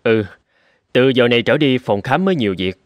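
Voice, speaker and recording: A man's voice: mid-range voice, lively intonation, a little fast, no echo, natural voice. Man acting a familiar, busy cartoon clinic director. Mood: welcoming. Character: busy clinic director, welcoming elder brother-in-law. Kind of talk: cartoon drama dubbing.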